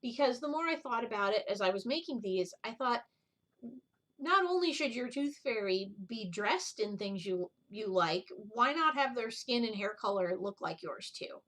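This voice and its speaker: A woman's voice: a little high, expressive, moderate pace, fairly bright tone. Rambling woman in a casual craft vlog. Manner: rambling, goes off on tangents. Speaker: needlework video host. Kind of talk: casual chat, show-and-tell vlog.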